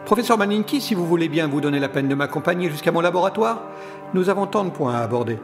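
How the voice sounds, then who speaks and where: A man's voice: on the high side, animated, fast talker, over music. An actor playing a condescending villainous scientist in his forties, formal and courteous in a smug, controlling way, in an audio drama. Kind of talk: scripted audio drama acting.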